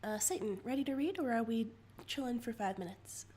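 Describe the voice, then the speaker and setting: A woman's voice: a little high, lively intonation, a little fast, a little mellow, breathy edge. A woman co-hosting a livestream in a casual, playful, giggly way. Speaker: livestream co-host. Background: music.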